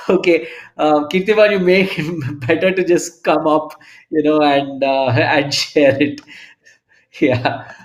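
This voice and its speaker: A man's voice: on the high side, fairly even intonation, slow. A man hosting an online meeting, hesitant and laughing lightly between phrases. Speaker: meeting host. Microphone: video call.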